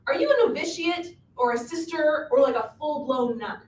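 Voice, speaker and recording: A woman acting a bossy, scheming, animated witch who insists on steering the story, in a live reading of a comic stage play. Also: high voice, moderate pace. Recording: distant, echoey room recording with hiss.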